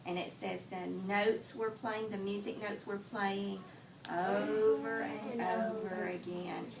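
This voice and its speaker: A woman teacher doing an interactive read aloud for young children, telling the story expressively with deliberate phrasing and pausing to put questions to them. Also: mid-range voice, steady tone, crowd noise. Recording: distant mic, clearly noisy room.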